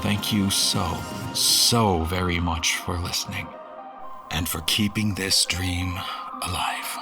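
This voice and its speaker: Low-voiced male host over music. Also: steady tone, slow pace, vocal fry. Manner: earnest, grateful address to listeners. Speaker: show creator and host. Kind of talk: scripted outro announcement over music. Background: music bed.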